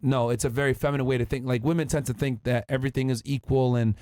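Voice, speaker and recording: Blunt fast-talking male host. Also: mid-range voice, fairly even intonation, warm tone, dry recording. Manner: blunt, challenging, interrupts. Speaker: podcast host. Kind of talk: casual debate talk.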